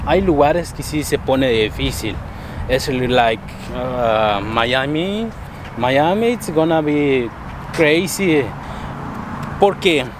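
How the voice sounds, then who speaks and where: A man's voice: on the high side, background noise. A man hosting a casual vlog, mixing Spanish and English and laughing often as he talks. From abroad, came to the US at fifteen. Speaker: vlog host. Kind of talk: casual street interview chat.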